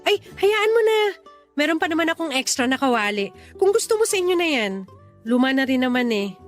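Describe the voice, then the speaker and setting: A woman's voice: sits high, big pitch swings, a little fast. A young woman with a Filipino accent playing a considerate but insistent neighbour in a radio drama, with music underneath. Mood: friendly, teasing.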